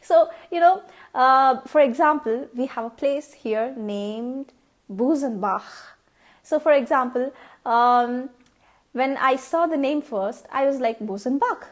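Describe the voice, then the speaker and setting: A young Indian woman with a high, bright voice, chatting casually through a vlog. She speaks English with an Indian accent, since her first language is Tamil. She repeats herself often and pauses to sound out words to show how they should be said. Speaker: vlogger.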